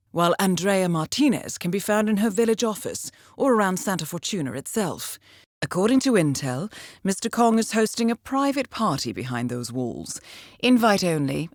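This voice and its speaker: An actress playing a composed, dryly witty intelligence handler in a video game, with a formal, precise, understated way of briefing. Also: female voice, lowish voice, dry recording, studio quality.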